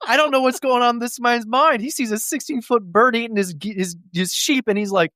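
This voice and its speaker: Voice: medium pitch, fairly quick, pushed and bright, dry recording, clean studio sound. A podcast host recapping things in a casual, comedic way, with slangy, profane, jokey storytelling.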